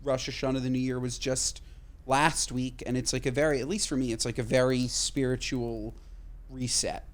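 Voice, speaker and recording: A man's voice: medium pitch, little pitch movement. A man co-hosting a podcast, chatting casually and emphatically, swearing freely. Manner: emphatic, swears casually, talks in quick bursts. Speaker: podcast co-host. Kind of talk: casual chat.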